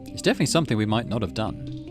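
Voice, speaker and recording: A man's voice: on the low side, sing-song, rapid-fire, music in the background. A man doing let's play commentary, reading story text aloud and muttering through his decisions as he thinks aloud. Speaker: game streamer, let's play host.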